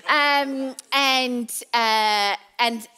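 A woman's voice: fairly high pitch, fairly even intonation, very slow, pushed and bright, smooth. A woman in her thirties with a South African accent, preaching a sermon in a chatty, playful, teasing way on a large church stage. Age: 30s.

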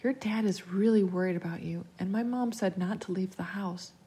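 Woman playing a soft, hesitant young boy. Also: mid-range voice, normal speed, natural voice. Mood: worried, hesitant. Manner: soft, unsure.

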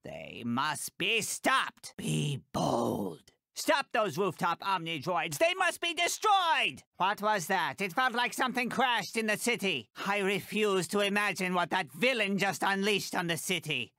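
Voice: mid-range voice, big pitch swings, a little slow, creaky, few pauses, pushed and bright, no room sound, studio quality, heavily acted. A performer voicing a tiny, imperious older woman fashion designer in a video game, theatrical and bossy, calling everyone darling. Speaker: mission giver.

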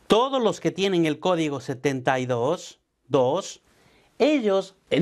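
A middle-aged man, a tax advisor, explaining to camera from an office, speaking deliberately and addressing the viewer directly, slipping English terms into his Spanish. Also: a little high. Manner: slow, emphatic, addresses viewer directly with formal "usted". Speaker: tax advisor and business coach. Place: home studio office with brick wall. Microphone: lapel mic, seated at desk.

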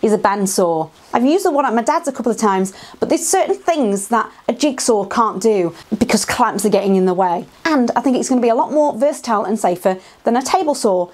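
A woman's voice: fairly high pitch, expressive, brisk, few pauses, a little mellow. A woman in her thirties with a British accent, chatting enthusiastically to camera about woodworking tools in a small wooden workshop shed, on a lapel mic. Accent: British English. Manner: chatty, enthusiastic, frank asides. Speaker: woodworking vlogger. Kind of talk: casual tool walkthrough to camera.

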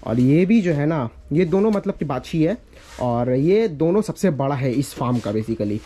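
A man's voice: a little high, lively intonation, moderate pace, mellow, slightly rough. A young man from India, vlogging casually on a handheld camera, explaining things to viewers in a repetitive, instructive way. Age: young adult. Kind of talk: casual walking vlog, giving directions and farm tour. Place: village lanes outdoors and cattle shed. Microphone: handheld camera at arm's length. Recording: noisy handheld outdoor recording, ambient sound.

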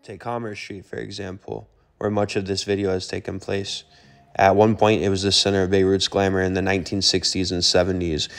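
A man's voice: deep voice, flat delivery, fairly quick, gravelly, faint music behind. A man hosting a travel vlog, narrating a city walking tour in an informative, easygoing way. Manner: informative, easygoing, with casual fillers.

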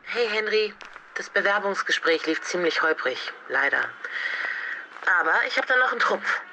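A woman's voice: mid-range voice, lively intonation, normal speed, background noise, lightly acted. A woman playing an upbeat, teasing, busy mother chatting to her teenager in an audio drama. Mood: chatty, hopeful. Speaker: actor. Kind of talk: voice-message dialogue in an audio drama.

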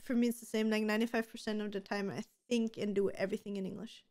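A woman's voice: medium pitch, fast talker. A young woman, a native German speaker with a German accent, co-hosting a casual podcast chat and talking animatedly with lots of "like" fillers. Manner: chatty, animated, frequent "like" fillers. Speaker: podcast co-host. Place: gaming room with colorful LED lights.

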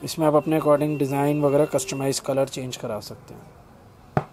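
A middle-aged man selling in a shop, giving a brisk, polite sales pitch and addressing the customer as sir. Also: fairly even intonation, noisy background. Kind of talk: product demonstration for a customer. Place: small indoor shop.

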